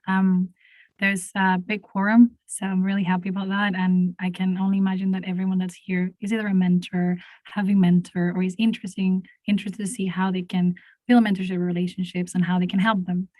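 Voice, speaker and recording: A woman's voice: mid-range voice, flat delivery, a little fast, darkish tone, no room sound. A woman from Venezuela with a Venezuelan accent, speaking on an online panel about mentoring while she has a cold, enthusiastic with frequent restarts. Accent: Venezuelan Spanish.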